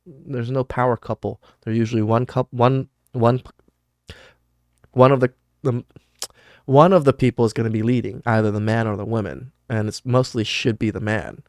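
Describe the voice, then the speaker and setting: A man's voice: medium pitch, fairly even intonation, normal speed, no echo, clean studio sound. Blunt, cussing male podcast host. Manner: blunt and profane, with many restarts and fillers. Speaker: podcast host reacting to clips. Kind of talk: casual reaction commentary.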